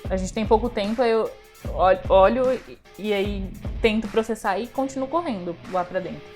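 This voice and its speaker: A woman's voice: mid-range voice, normal speed, a little mellow. A Brazilian woman casually narrating her character's actions as a player in a tabletop role-playing game. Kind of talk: casual first-person description of game actions. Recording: music playing behind the voice. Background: music.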